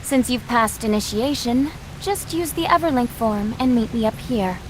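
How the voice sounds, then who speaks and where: A woman's voice: on the high side, lively intonation, a little hiss or hum, natural voice, rough recording. Haughty, commanding martial sect gatekeeper played by a woman. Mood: coolly commanding. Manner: commanding, disdainful, measured. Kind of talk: video game cutscene acting.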